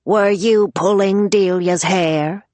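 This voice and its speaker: A woman voicing a fierce, sharp-tongued older grandmother who scolds and commands. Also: mid-range voice, fairly even intonation, takes it slowly, dry recording, pitched above the performer's own voice, natural voice. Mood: scolding.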